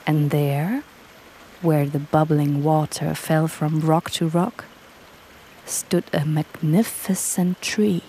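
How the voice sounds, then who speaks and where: An actress playing a gentle young woman reading a fable aloud in a radio drama, in an unhurried storytelling manner. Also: low-pitched, fairly even intonation, slight background noise, poor audio.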